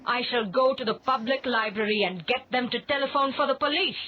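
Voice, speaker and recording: A man voicing an indignant, shrill genteel lady who scolds in a high falsetto. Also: fairly quick, a crowd in the background. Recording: dull lo-fi recording.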